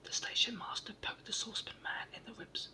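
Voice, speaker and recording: A reader narrating a children's storybook entirely in a soft, steady whisper. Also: brisk, distant mic.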